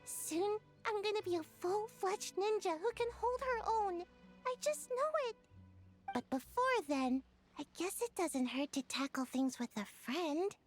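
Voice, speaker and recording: A woman's voice: extremely high pitch, lively intonation, a little slow. A woman voicing a sleepy, lazy little ninja girl in a video game, drowsy and a bit defensive, with game music behind.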